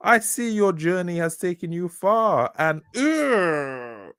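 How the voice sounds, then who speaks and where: A man voicing an old bearded monk, serene and faintly disdainful, with formal, drawn-out greetings. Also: sits high, sing-song, a bit bright, clear, resonant tone, no room sound, studio quality, voice pushed up, full character voice.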